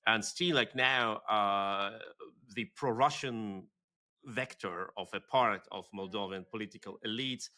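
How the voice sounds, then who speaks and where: A man's voice: on the low side, unhurried, pushed and bright. A man hosting an interview, asking questions in a conversational way and thinking aloud. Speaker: interviewer host.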